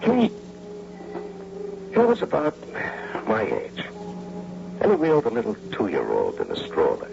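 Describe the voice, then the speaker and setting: Very deep, dramatic, touchy house-husband. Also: male voice, wildly swooping pitch, measured pace, gravelly, pauses often, music in the background, exaggerated voice. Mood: reflective, brooding. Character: big unemployed house-husband narrating his slide into crime, touchy. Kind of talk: old-time radio drama acting and first-person narration. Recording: noisy dull vintage radio broadcast recording.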